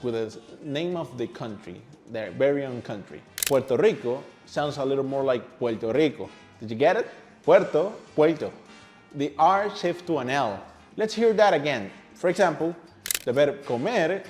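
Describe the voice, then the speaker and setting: Higher-voiced Venezuelan man teaching playfully. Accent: Venezuelan Spanish. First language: Spanish. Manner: playful and animated, with rhetorical questions and asides to the viewer. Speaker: language teacher, video host. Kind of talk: upbeat, conversational scripted lesson to camera.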